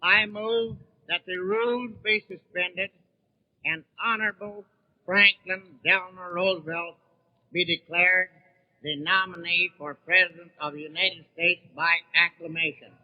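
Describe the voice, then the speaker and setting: A man's voice: high voice, fairly even intonation, unhurried, muffled recording. A man speaking as a convention delegate, formally reading out a motion on a 1930s radio broadcast. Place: large convention hall.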